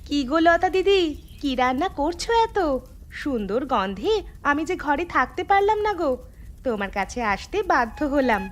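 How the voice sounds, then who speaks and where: Woman voicing an eager high-pitched cartoon village neighbour. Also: big pitch swings, moderate pace. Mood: delighted, curious. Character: eager, chatty, nosy village housewife and neighbour. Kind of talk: animated cartoon dialogue.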